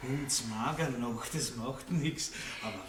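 An actor playing a coarse, self-satisfied country baron in a radio play, with a Viennese accent and pompous, leering bluster. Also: male voice, medium pitch, slow, fairly bright tone. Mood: gloating, aroused. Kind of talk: German-language radio play acting. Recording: roomy, distant, lightly noisy old radio recording.

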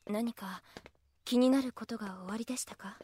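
An actress playing a composed, reserved wife who speaks politely and gently reproves, in an audio drama. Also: female voice, a little high, moderate pace, slight background noise, natural voice. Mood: calm, probing. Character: composed, polite, perceptive wife of a proud lord. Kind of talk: Japanese audio drama acting.

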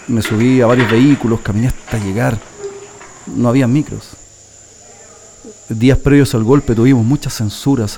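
A man's voice: mid-range voice, darkish tone, a little hiss or hum, natural voice. A Chilean man playing a former printing worker and resistance militant telling his story in a first-person radio drama. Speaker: narrator giving testimony in a radio drama.

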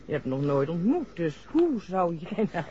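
An actress playing a controlling, criminal doctor giving orders in a 1960s Dutch radio drama. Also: female voice, on the low side, sing-song, moderate pace, warm tone. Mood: controlling, cool. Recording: dull, noisy vintage radio drama recording.

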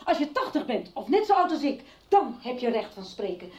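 Emotional, defensive woman; lively, mellow voice. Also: on the high side, fairly quick, slightly rough. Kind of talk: 1990s radio soap acting.

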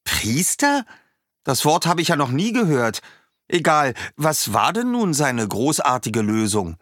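A man voicing a curious, sceptical talking swallow who keeps asking doubting questions, with a husky voice. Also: mid-range voice, sing-song, unhurried, fairly bright tone, dry recording, studio quality, pitched above the performer's own voice, heavily acted. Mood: puzzled.

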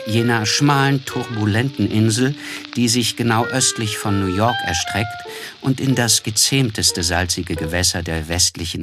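An actor playing a reflective, polite young man who narrates a literary radio drama in a measured, descriptive way. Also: on the low side, lightly acted. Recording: music under the voice.

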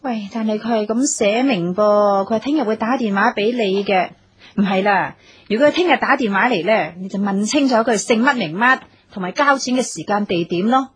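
An actress playing a bossy, self-assured, scheming wife in a Cantonese radio drama, commanding and coaxing by turns. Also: female voice, fairly high pitch, husky.

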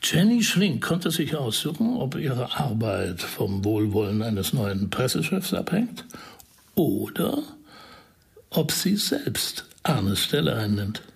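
Husky, creaky shrewd investigator. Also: male voice, medium pitch, very animated, heavily acted. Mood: deliberate, explaining. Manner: deliberate, pausing, persuasive. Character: shrewd male investigator explaining a dismissal intrigue to a friend. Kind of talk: radio crime drama acting.

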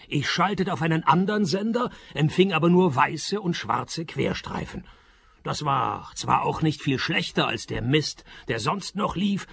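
A man's voice: on the high side, gravelly, fairly bright tone, breathy edge, dry recording, exaggerated voice. An actor playing a dry, sarcastic male private detective who acts and narrates in a radio play. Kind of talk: radio play acting with first-person narration.

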